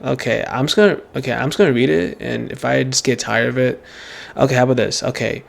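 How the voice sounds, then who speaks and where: A man's voice: mid-range voice, fast, gravelly. A man in his late teens doing casual, rambling game playthrough commentary, often repeating himself and hesitating. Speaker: gaming streamer.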